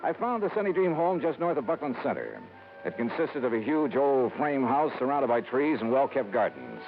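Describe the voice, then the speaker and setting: An actor playing a hard-boiled, wry American insurance investigator, matter-of-fact and assured, in 1950s radio noir style. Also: male voice, mid-range voice, big pitch swings, normal speed, faint music behind. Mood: descriptive, calm. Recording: dull old radio recording.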